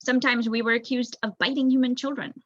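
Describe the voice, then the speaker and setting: A young woman voicing a thoughtful, bookish male rat elder telling a storybook history in a measured, reflective way. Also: a little high, steady tone, no echo, lightly acted. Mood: pondering. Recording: dull lo-fi recording.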